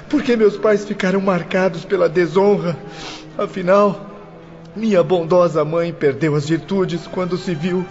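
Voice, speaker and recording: A man's voice: high-pitched, darkish tone. A man playing an anguished, bitter young orphan in prison, tearful and declamatory, in an audio drama. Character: anguished imprisoned orphan son, bitter, grieving, self-pitying young man. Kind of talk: audiobook radio drama acting. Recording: dull muffled audio, music underneath.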